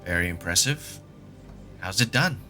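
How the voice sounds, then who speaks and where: Man playing a bright-voiced, probing scientist. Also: low-pitched, lively intonation, normal speed, full character voice. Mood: inquisitive, sceptical. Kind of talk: audio drama acting. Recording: music under the voice.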